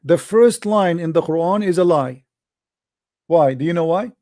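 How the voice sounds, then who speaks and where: A man's voice: fairly high pitch, animated, normal speed, warm tone. Insistent man lecturing, Arabic native. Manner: insistent, lecturing, repeats phrases. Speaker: host. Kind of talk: call-in debate.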